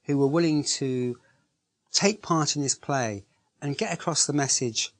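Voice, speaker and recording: A man's voice: fairly high pitch, measured pace. A man speaking earnestly as a project organiser in an interview, emphatic and repeating himself. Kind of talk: interview testimonial.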